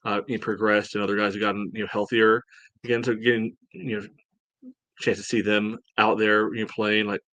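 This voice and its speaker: Low, even-toned man talking sports. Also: fairly quick, dry recording, low-quality recording. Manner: conversational, peppered with "you know". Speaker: soccer podcast co-host. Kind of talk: casual sports analysis chat.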